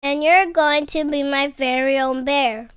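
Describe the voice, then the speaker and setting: Woman putting on a high-pitched sweet little girl. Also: fairly even intonation, a little slow, a bit bright, dry recording. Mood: happy, earnest. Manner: earnest, childlike. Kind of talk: children's storybook character voice.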